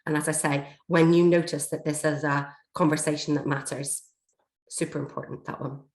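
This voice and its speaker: A woman, slightly hoarse from a chest infection, giving a steady, explanatory workshop talk on a video call, with frequent fillers. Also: low voice, moderate pace. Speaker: learning and development trainer. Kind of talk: online workshop presentation. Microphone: computer mic on a video call. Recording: echoey room over a video call.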